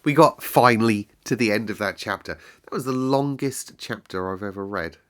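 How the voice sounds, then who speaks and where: A man's voice: lowish voice, normal speed. A man hosting story time in casual, playful chat, a little breathless with a chesty cold. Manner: chatty, self-deprecating, playful repetition. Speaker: storyteller and channel host.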